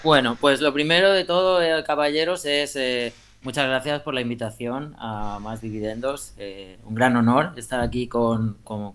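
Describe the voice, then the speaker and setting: A man's voice: medium pitch, fairly bright tone. A man in his forties from Madrid, speaking with a Spain Spanish accent. He is an interview guest who tells his story at an easy, thoughtful pace, with a middle-range voice. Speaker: podcast guest. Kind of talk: casual interview.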